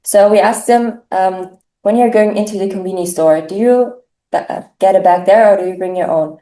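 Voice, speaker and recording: A teenage girl from Germany, a native German speaker, presenting survey results with frequent pauses. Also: mid-range voice, warm tone, echoey room, poor audio. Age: teens. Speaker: student presenter. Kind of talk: class presentation.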